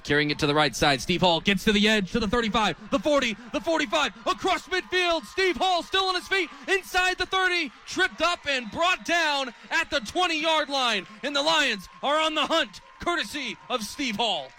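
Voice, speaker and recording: A man in his twenties calling live American football on radio as play-by-play announcer, with an animated, excitable delivery. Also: extremely high pitch, normal speed, hardly any pauses. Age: 20s. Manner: animated, builds from clipped calls to excited shouting. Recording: stadium crowd behind the commentary. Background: stadium crowd.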